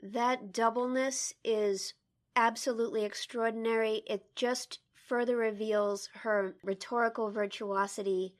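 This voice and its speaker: A woman co-hosting a literary discussion, speaking in a deliberate, articulate, emphatic way. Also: on the high side, fairly even intonation. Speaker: podcast co-host. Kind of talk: literary discussion and poetry reading.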